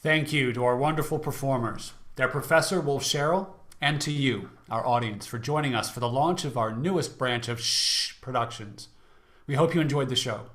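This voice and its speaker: Mid-pitched male radio show announcer. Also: normal speed, fairly bright tone. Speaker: announcer and host.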